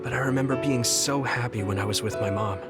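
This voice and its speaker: A man's voice: medium pitch, fast talker, natural voice. Game hero, played by a man: young soldier, earnest, friendly, easygoing, medium register, music bed. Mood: earnest, reflective. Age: young adult. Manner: friendly, sincere, open. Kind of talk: video game voice acting, story cutscene dialogue. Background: background music.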